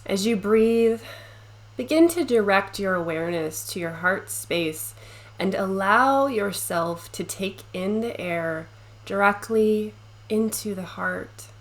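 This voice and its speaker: A woman leading a guided meditation, speaking gently and soothingly with long pauses between short phrases. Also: mid-range voice, lively intonation.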